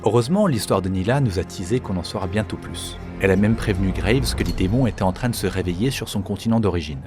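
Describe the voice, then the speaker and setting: A man's voice: low voice, fast, music in the background. A man giving explanatory commentary as a video game lore creator.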